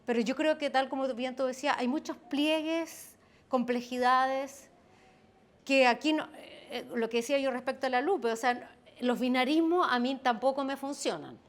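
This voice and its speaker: Higher-pitched Chilean woman on a panel. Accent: Chilean Spanish. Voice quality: strong voice. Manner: measured, qualifying her points. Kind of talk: panel discussion. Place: event hall.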